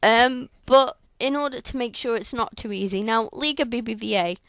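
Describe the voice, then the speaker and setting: A young woman with a sore, croaky throat, chatting through video game commentary on a headset mic in a rambling way full of fillers. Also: fairly high pitch, normal speed, fairly bright tone, dry recording. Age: young adult. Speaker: gaming channel host. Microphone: headset microphone.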